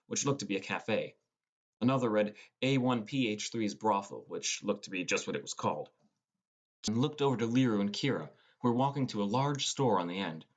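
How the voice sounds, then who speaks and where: Man as teenage boy narrating a fantasy audiobook. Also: on the low side. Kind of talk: first-person scripted audiobook narration.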